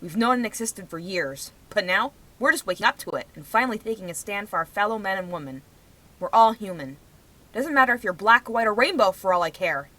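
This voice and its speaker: A young woman talks through a personal vlog in a bright, forceful voice at an average pitch. She is outspoken and emphatic, leaning hard on her points as she rambles from one to the next. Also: lively intonation, fairly quick, low-quality recording. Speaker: vlogger.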